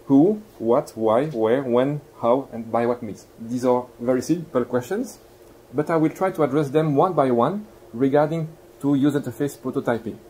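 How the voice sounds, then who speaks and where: A man's voice: mid-range voice, moderate pace, dark tone, low-quality recording. A man with a Belgian accent giving a university lecture, courteous and explanatory, putting questions to his listeners. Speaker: guest lecturer. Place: lecture room. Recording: live lecture recording with clear background noise.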